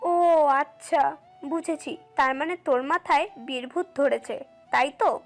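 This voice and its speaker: No-nonsense mum played by a woman, high voice, mocking and dry, audio drama with background music. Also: unhurried. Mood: teasing, scolding. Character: teasing, no-nonsense mother of a grown son. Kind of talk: audio story acting. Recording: music under the voice.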